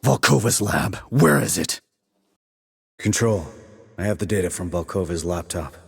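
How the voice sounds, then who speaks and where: Deep, husky military sniper. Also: male voice, lively intonation, moderate pace, no room sound, clean studio sound, full character voice. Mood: menacing, interrogating. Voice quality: low, husky. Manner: clipped, controlled, businesslike. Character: terse, cool-headed male sniper operative, hardened mercenary. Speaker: video game voice actor. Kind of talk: military radio chatter, game dialogue.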